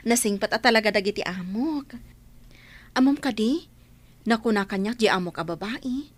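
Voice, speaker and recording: A woman with a Filipino accent playing a grateful, sweet young working student in a radio drama, with expressive delivery. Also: fairly high pitch, normal speed, slightly rough. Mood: happy, grateful. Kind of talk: Ilocano radio drama acting.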